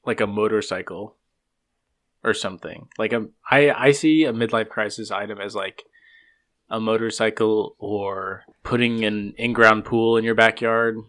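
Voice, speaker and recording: A man's voice: fairly low pitch, steady tone, normal speed, fairly bright tone. A man in his twenties co-hosting a casual podcast, hedging with many fillers and trailing off. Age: 20s. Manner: hedging, lots of likes, trailing off. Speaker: podcast co-host. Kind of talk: casual chat.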